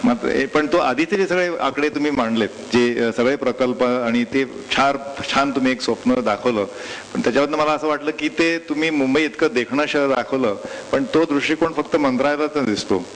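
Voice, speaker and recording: A man's voice: medium pitch, normal speed, poor audio. A man moderating a panel, working his way to questions in a hesitant, roundabout manner. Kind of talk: moderating a discussion. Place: live public panel event. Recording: live event with hiss and noise.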